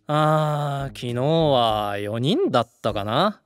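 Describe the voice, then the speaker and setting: An actor, a man, playing a brash, laid-back high school brawler in an audio drama, with rough casual speech. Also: expressive, slow, soft background music.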